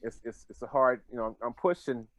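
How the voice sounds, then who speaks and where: A man's voice: mid-range voice, fairly even intonation, a little fast. An American man in his forties chatting casually as an interview guest, often dropping "you know" into his sentences. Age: 40s. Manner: informal, peppered with "you know". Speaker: podcast guest, bartender, designer and teacher.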